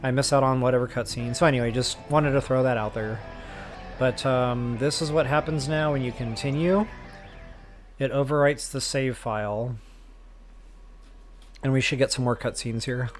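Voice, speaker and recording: A man's voice: medium pitch, fairly even intonation, normal speed, pauses often. Man chatting on stream over music. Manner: chatty, addresses viewers as guys and y'all. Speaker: game livestreamer. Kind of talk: casual livestream chat and game commentary. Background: game music.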